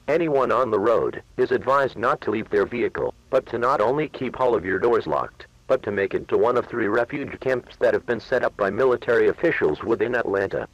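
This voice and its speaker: Man playing a grave alert announcer, husky, mid-pitched, formal instructions, muffled radio-style sound. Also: fairly quick, few pauses, natural voice. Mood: grave, urgent. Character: male emergency alert announcer, grave and authoritative. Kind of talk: scripted emergency broadcast announcement.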